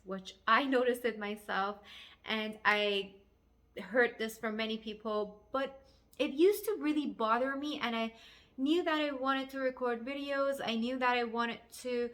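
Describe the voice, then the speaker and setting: A woman life coach with a Persian accent speaks in a fairly high voice. She is a native Farsi speaker delivering a motivational talk in English to viewers. Her manner is earnest and encouraging, with emphatic phrasing. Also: a bit bright. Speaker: life coach and video host.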